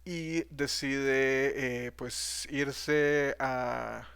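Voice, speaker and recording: A man's voice: mid-range voice, steady tone, very slow, creaky, a bit bright, clean tone. A man teaching math history in a video lecture, hesitant, with frequent fillers and restarts.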